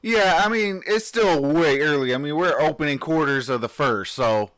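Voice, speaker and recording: A man hosting a live stream, reacting to a game and chatting with viewers in a sleepy, just-woken voice, cheering like an excitable fan. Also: fairly high pitch, moderate pace, no echo. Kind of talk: casual live reaction chat.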